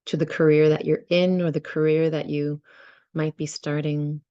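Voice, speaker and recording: A woman leading a guided visualization and meditation, speaking gently and unhurriedly in short phrases with many pauses. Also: sits low, flat delivery, smooth, no echo, dull audio. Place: online video session.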